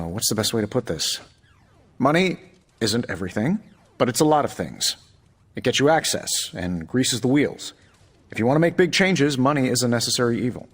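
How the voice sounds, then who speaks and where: An actor playing a glib, wisecracking billionaire inventor superhero in a video game, breezy and self-assured. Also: male voice, medium pitch, natural voice. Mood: breezy, sardonic. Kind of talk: video game cutscene acting. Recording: reverberant hall, faint music behind.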